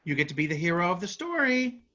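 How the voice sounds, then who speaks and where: A woman's voice: fairly low pitch, very animated, quick pace, a bit bright, dull audio. A woman playing an eager, inventive traveling actor in a staged reading, enthusiastic with some hesitant phrasing.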